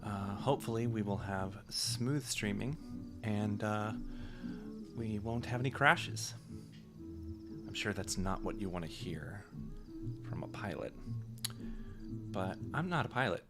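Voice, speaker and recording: A man's voice: fairly low pitch, measured pace, long pauses, over loud music. A man hosting a casual livestream, giving director's commentary in an informal, chatty style. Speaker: livestream host and drama director.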